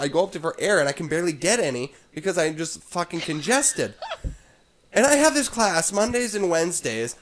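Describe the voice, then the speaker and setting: A young man with a stuffed-up nose, telling rambling stories to friends, swearing and laughing as he goes. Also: high-pitched. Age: young adult. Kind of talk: casual chat among friends.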